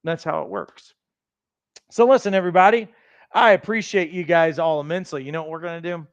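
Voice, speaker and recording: A man with a raspy voice hosting a livestream, giving a fast, emphatic, motivational pitch for coach training. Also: no room sound. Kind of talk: energetic sales pitch on a livestream.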